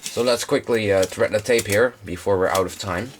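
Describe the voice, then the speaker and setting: A man's voice: lowish voice, normal speed, slight background noise. A young man with a slight cold gives a casual, informal demonstration, explaining things in a hesitant way. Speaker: hobbyist presenter.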